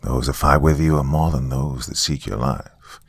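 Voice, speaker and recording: A man's voice: a bass voice, moderate pace, vocal fry, husky, voice pushed down, exaggerated voice. A man voicing a solemn, commanding male angel who makes grave pronouncements in a supernatural story.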